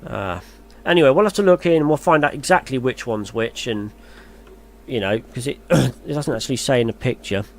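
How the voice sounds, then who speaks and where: A man's voice: mid-range voice, lively intonation, brisk, a little hiss or hum. A man reading gaming news aloud as a YouTuber, then musing in a hesitant, chatty way. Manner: muses aloud, hesitant and chatty when commenting. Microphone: voice-over on a screen recording.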